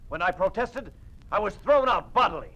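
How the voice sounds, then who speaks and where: An actor playing an indignant, ousted government minister in an old-time radio drama, flustered and formally spoken. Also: male voice, sits high, lively intonation, moderate pace, sharp tone, exaggerated voice, rough recording. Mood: outraged. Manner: flustered, outraged, formal wording.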